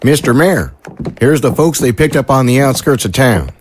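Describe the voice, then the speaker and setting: An actor playing a matter-of-fact small-town sheriff in an audio drama, reporting plainly and dutifully. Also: male voice, medium pitch, lively intonation, normal speed, a little hiss or hum, close to an everyday voice. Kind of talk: dramatic dialogue acting.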